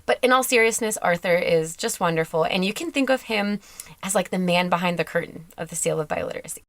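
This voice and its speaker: A woman's voice: fairly low pitch, expressive, fast, a bit bright, dry recording. A woman hosting a podcast, reading a playful scripted intro and then interviewing a guest in an upbeat, emphatic way. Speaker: podcast host.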